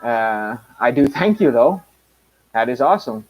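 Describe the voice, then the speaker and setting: A man in his mid-thirties talks loosely on his own live stream, wandering between thoughts with plenty of uhs and restarts. His voice sits at an ordinary middle pitch for a man. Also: lively intonation, measured pace, a little mellow, faint music behind, rough recording. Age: 30s. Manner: rambling, many fillers and restarts. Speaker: channel host. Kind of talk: casual live stream chat.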